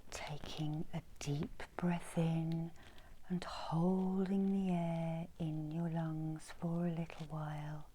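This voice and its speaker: A middle-aged woman whispering a soothing, breathy ASMR guided meditation as a healer, softly giving instructions. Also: low-pitched, flat delivery, slow, slight background noise, poor audio. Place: small indoor room with folding screens.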